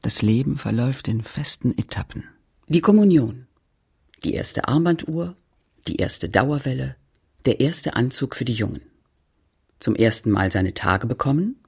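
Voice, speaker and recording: A narrator in a radio play, reflectively recalling a postwar provincial childhood in measured, deliberate, literary recitation. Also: mid-range voice, animated, creaky, darkish tone, slightly rough, exaggerated voice.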